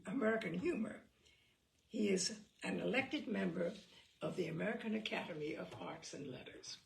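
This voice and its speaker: A woman's voice: mid-range voice, gravelly, reverberant, rough recording. A woman reading humorous essays aloud with dry, wry expressiveness. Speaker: reader.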